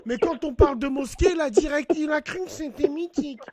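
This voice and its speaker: Animated high-voiced man giving advice. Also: fairly quick. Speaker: host. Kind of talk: casual debate over a phone call.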